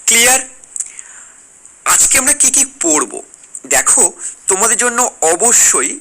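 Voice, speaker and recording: A man's voice: very high-pitched, very animated, unhurried, heavy background noise. A man with a Bengali accent from West Bengal teaching an exam lesson to school students, repeating phrases and asking check questions. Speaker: history teacher, online exam coach.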